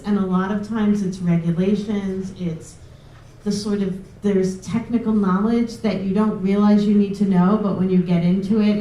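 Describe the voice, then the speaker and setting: A woman researcher speaks at length on a panel, thinking aloud and restarting her sentences. Her tone is mellow, and she sounds distant in an echoey room. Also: medium pitch, a little hiss or hum. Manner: thoughtful, rambling, many restarts. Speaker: researcher in nutrition and food science. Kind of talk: panel discussion. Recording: roomy room audio from a distance.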